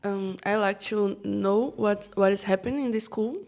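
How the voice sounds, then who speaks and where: A woman's voice: mid-range voice, fairly even intonation, normal speed, vocal fry, darkish tone. Hesitant young woman from Brazil. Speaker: podcast guest.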